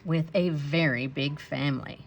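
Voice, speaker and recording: Woman storybook narrator: very unhurried, lowish voice with a gravelly edge, expressive delivery for young children. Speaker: storybook read-aloud reader.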